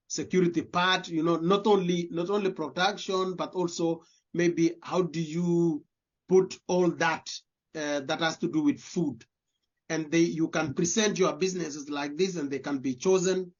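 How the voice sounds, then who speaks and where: A Kenyan man with a Kenyan accent, answering interview questions as a diaspora leader, earnestly repeating words as he searches for phrasing. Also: fairly high pitch. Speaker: guest, diaspora community leader. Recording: dull lo-fi audio.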